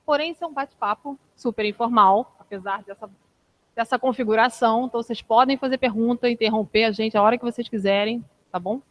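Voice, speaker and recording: A woman coordinator opening an event and introducing her guest in a relaxed, informal way. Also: a little high, fast, low-quality recording. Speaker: course coordinator and event host. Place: event room with an audience.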